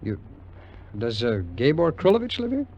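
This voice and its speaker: A man's voice: a little high, huge pitch swings, moderate pace, mellow, slight background noise. An actor playing a wry, wary American war veteran telling his tale in a 1950s radio drama, in a hesitant, colloquial way. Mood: awkward, hesitant. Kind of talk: 1950s radio drama acting and first-person narration. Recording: muffled vintage 1950s radio recording, music behind.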